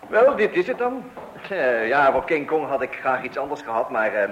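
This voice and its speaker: An actor playing a cheerful, oblivious husband in a comic radio play, chatty and hesitant, repeating himself with self-satisfaction. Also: male voice, mid-range voice, very animated, moderate pace, fairly bright tone, muffled recording. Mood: proud. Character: cheerful, oblivious husband proud of his eccentric redecorating. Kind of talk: radio play comedy acting. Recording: roomy, noisy old radio play recording.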